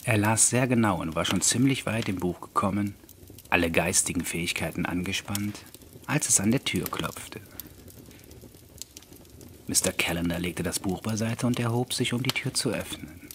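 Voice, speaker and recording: Low-pitched male audiobook narrator. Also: moderate pace, fairly bright tone, slight background noise. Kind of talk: scripted literary narration of an eerie story.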